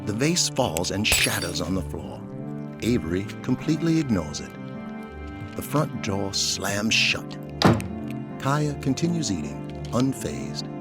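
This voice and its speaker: A man's voice: lowish voice, sing-song, a little slow, loud music behind the voice. A man narrating a scripted audio drama, reading out scene descriptions.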